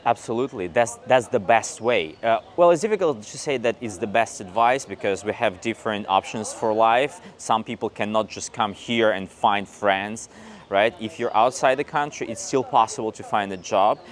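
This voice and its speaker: A man's voice: on the low side, normal speed. A man in his thirties from Belarus, an English teacher telling his story in a casual interview, recorded on a lapel mic. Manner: chatty storyteller, acts out quoted dialogue. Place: outdoors on a paved old street and in a cafe.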